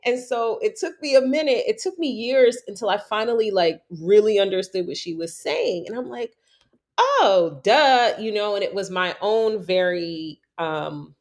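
A woman from New York hosting a casual conversation, reflective and thoughtful, with many fillers and lively storytelling. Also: mid-range voice, normal speed.

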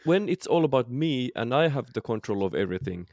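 A man's voice: mid-range voice, big pitch swings, brisk, darkish tone, no room sound, muffled recording. A man co-hosting a podcast in casual chat, hesitant and often restarting his sentences. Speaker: podcast co-host.

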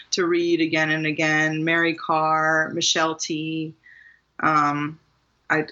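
A woman's voice: deep voice, sharp tone, dull audio. A woman from Maryland, a guest author, talking casually in an interview, rambling a little and laughing often. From Maryland, USA.

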